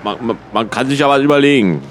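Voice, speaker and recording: A man in a radio comedy who delivers his lines dry and matter-of-fact, in deadpan banter. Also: mid-range voice, fast, a little hiss or hum. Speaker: band member in a radio comedy.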